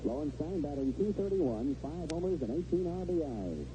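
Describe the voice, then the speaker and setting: Male radio baseball play-by-play voice, animated and on the high side, noisy vintage broadcast. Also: moderate pace. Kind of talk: live sports commentary.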